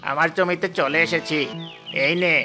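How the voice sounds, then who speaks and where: A man voicing a greedy, sly, two-faced village farmer in an animated moral story. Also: a little high, normal speed, soft background music. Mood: falsely friendly. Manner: falsely reassuring, gloating.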